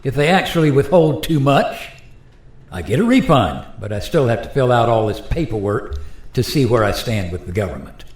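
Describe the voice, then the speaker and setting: A man's voice: medium pitch, animated, moderate pace, lightly acted. A man playing a resigned, plain-spoken modern taxpayer in a radio play, explaining things in a wry, conversational way. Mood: wry, exasperated. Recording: roomy echo.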